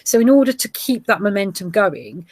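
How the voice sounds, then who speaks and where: A woman in her forties, a geography teacher with a Gujarati heritage, explaining ideas to other teachers in a steady way with small fillers. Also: medium pitch, normal speed, no room sound. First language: Gujarati heritage, English now first. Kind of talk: presentation to teachers.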